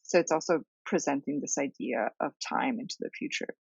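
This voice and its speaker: A woman in her thirties from Bulgaria, an artist answering interview questions thoughtfully with many pauses and fillers. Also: low voice, expressive, vocal fry, husky, no room sound, lo-fi sound. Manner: thoughtful, many ums and restarts. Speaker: visual artist and art instructor.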